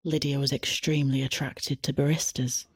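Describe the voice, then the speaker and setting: A woman with a husky voice, narrating a scripted satirical story in a deadpan, matter-of-fact way. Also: a bass voice, moderate pace, heavily acted. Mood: wry, deadpan. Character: wry female storyteller narrating a satirical tale.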